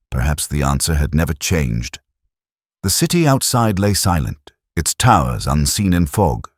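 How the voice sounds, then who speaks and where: A man narrating a scripted first-person detective story in a measured, literary, world-weary manner. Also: low voice, no room sound, studio quality.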